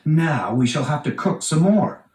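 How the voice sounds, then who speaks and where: A man's voice: a little high, expressive, a little slow, darkish tone, pitched above the performer's own voice. Man as an indignant scolding wife, roomy sound. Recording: roomy, echoing, distant from mic.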